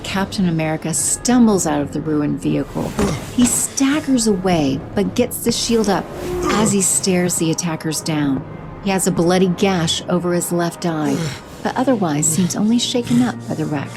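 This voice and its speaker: A woman's voice: fairly low pitch, lively intonation. Woman narrating action over music. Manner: brisk, vivid storytelling.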